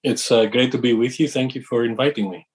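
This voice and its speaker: Greek man recalling his career path. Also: medium pitch. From Greece. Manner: thoughtful, measured, with fillers and restarts. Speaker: company founder and CEO, guest. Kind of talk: interview conversation.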